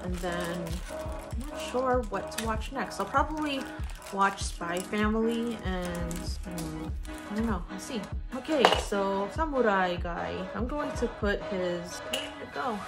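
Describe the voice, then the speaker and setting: Slow-paced young woman over music. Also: mid-range voice, animated. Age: young adult. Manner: gushing over cute things, talks to objects. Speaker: vlogger unboxing collectible figures. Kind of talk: casual chat to viewers. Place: indoors, living room at home. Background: background music.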